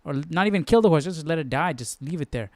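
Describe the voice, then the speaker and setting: A young man hosting a casual live study stream, musing aloud in an informal, halting way. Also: on the high side, lively intonation, darkish tone, no echo, studio quality. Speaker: host.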